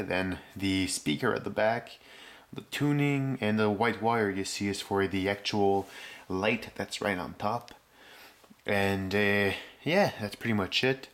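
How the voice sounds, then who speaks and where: A man with a fairly low voice gives a casual walkthrough of his restoration work. He searches for words as he goes, in a halting way. He is picked up indoors by a handheld camera's microphone. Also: vocal fry, a bit bright. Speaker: hobbyist restorer presenting a rebuilt radio. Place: indoors, room with concrete floor.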